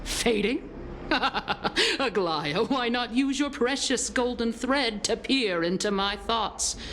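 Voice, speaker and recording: An actress playing a scheming, villainous noblewoman in a video game, taunting and theatrical, with drawn-out phrasing. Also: fairly high pitch, lively intonation, fairly bright tone, slight background noise. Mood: mocking, gloating. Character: scheming, mocking villainous noblewoman, gloating and venomous. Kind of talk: dramatic video game voice acting.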